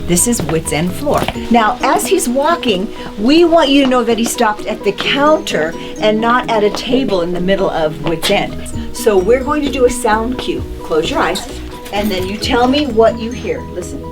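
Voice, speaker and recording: A woman's voice: a little high, expressive, moderate pace, over music. A woman giving an explanatory tour, telling it like a story with theatrical little demonstrations. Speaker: studio tour guide.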